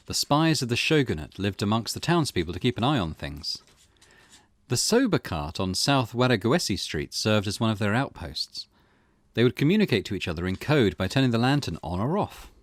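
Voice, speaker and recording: A man hosting a gaming playthrough, conversational in his commentary and expressive when reading the story text aloud. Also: lowish voice, dry recording, clean studio sound. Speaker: gaming channel host.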